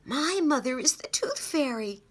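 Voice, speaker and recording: A woman voicing an excited, stubborn little girl in a children's storybook, with an eager, insistent delivery. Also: high voice, a little slow, voice pushed up. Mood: excited secretive.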